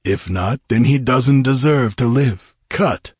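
A man's voice: medium pitch, a little slow, a little mellow, husky, no room sound, pitched above the performer's own voice. A man performs a proud outlaw chieftain of the desert for an adventure audiobook. He is imperious and mocking, a hard man who gives orders coolly. The recording is close and dry. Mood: cool, commanding. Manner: imperturbable, commanding, scornful. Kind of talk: dramatised audiobook adventure reading.

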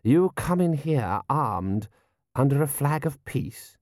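A man's voice: mid-range voice, very animated, slow pace, a little mellow, no room sound, clean studio sound, voice pushed up. Man playing a haughty, imperious prince. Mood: cold disdain. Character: haughty, weary male prince, used to obedience.

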